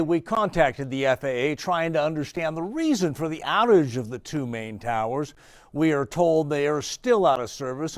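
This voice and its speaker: A man's voice: on the high side, no room sound. An American man working as an investigative reporter, delivering scripted broadcast news in emphatic, clipped phrases. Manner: emphatic, clipped sentence fragments.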